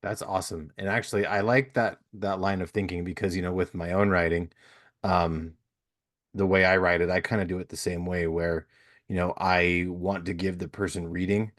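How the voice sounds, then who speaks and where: A man's voice: low voice, level tone, normal speed, a bit bright. A man hosting a podcast interview, chatting casually and rambling with lots of "you know" fillers. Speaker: podcast host.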